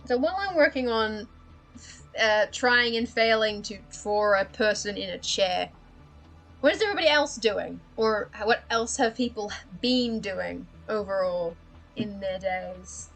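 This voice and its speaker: A woman's voice: medium pitch, a little slow, fairly bright tone, faint music behind, rough recording. A young woman from Australia with a slightly American-drifting Australian accent, chatting casually and rambling with self-deprecating laughs while drawing on a live stream.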